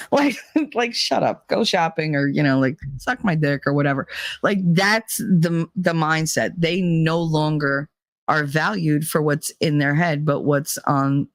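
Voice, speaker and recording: A woman's voice: low-pitched, dry recording. A middle-aged woman hosting a livestream, reading chat and ranting bluntly, mocking people with laughter and put-on voices. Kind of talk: casual opinionated livestream chat.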